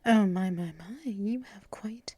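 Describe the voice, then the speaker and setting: Woman acting a slow, whispering, doting mistress. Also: mid-range voice, mellow, no echo. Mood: playful, affectionate. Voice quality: breathy, mostly whispered. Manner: slow, cooing, teasing, drawn-out pauses and hums. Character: doting, teasing, gently dominant mistress pampering her pet. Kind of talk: unscripted ASMR roleplay, addressed to the listener. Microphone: close microphone.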